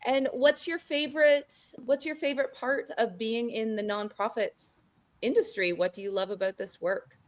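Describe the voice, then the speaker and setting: A woman's voice: fairly high pitch, normal speed. A woman hosting a podcast interview who asks questions and responds in an upbeat, encouraging way.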